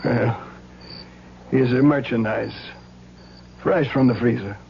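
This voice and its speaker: Shady morgue fixer: mid-pitched, folksy, joking, noisy old radio drama. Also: male voice, fairly even intonation, slow, creaky, highs cut off. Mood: wry, curious. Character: folksy, joking male supplier of stolen corpses. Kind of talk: old-time radio crime drama acting.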